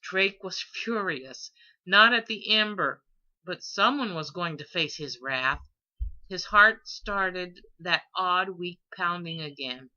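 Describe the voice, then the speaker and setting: Slow, very bright woman storyteller. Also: lowish voice, expressive, muffled recording. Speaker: audiobook reader. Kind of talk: expressive scripted story narration.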